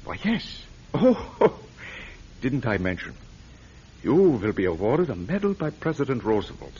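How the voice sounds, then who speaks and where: A silky, scheming German major. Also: male voice, medium pitch, big pitch swings, a little slow, exaggerated voice. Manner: smooth, coaxing, quietly fanatical. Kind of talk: 1940s radio acting. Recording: dull lo-fi old radio recording.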